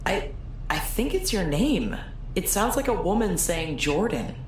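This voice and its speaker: A woman's voice: fairly low pitch, sing-song, measured pace. A woman playing a puzzled, conversational teammate in an audio drama, a little hesitant as she explains. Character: puzzled female teammate good with talking machines. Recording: very echoey room, faint noise.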